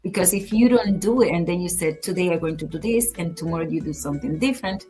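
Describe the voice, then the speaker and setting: A woman co-hosting a podcast, talking in a measured, earnest, advice-giving way in casual conversation. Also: on the low side, mellow, faint music behind. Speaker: podcast co-host.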